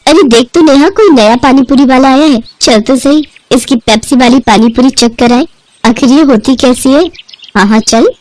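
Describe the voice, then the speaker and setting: A woman acts an excitable young rustic customer in a moral tale. Her voice is very high and chatty, gushing as she goes. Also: moderate pace, no room sound, natural voice, poor audio. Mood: curious.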